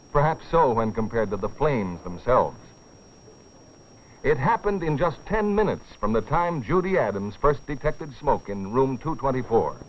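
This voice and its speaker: A man's voice: medium pitch, slight background noise. A man delivering dramatic, solemn scripted narration for an educational film, with rhetorical weight. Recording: dull, dated film soundtrack with music.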